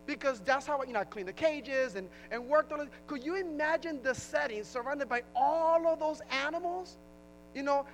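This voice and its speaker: High-voiced pastor with a New Jersey accent. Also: a little hiss or hum, low-quality recording. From Puerto Rico. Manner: conversational, with frequent restarts and emphatic rises. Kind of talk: sermon.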